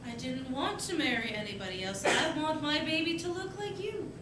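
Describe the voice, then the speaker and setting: An actress playing a gentle, loving, teasing young wife in a live 1940s-style radio play. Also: female voice, high-pitched, moderate pace, far from the mic, lightly acted. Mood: tender. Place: live stage performance. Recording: noisy, roomy, off-mic stage sound.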